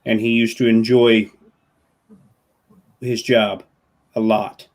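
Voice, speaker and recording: A man's voice: lowish voice, flat delivery, darkish tone. A man chatting casually on a stream, telling long anecdotes with frequent restarts. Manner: storytelling, drawn-out anecdotes with restarts. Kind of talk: casual chat.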